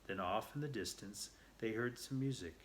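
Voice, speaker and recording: Guy w a lowish voice reading a kids book out loud, earnest n unpolished, bit of noise behind. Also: moderate pace. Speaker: storyteller reading a children's book aloud. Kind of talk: read-aloud narration.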